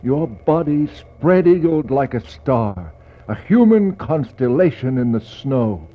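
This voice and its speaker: An actor playing a brooding polar explorer in a 1960s poetic radio drama, speaking in a solemn, declamatory way with dramatic pauses. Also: male voice, mid-range voice, very animated. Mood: yearning, intense. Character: brooding male polar explorer searching for a lost expedition. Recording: dull, noisy 1960s radio recording.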